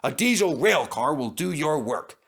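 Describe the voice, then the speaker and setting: A man's voice: on the high side, very animated, normal speed, gravelly, a bit bright, breathy edge, full character voice. A man voicing a stern, pompous older male railway controller in a children's storybook, speaking formally. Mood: stern reprimand.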